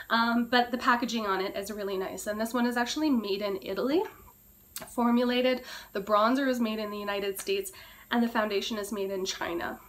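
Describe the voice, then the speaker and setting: A woman with a hoarse, cracking voice she says she is losing, chatting casually through a beauty product review and often clearing her throat. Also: mid-range voice. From Canada. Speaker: beauty vlogger.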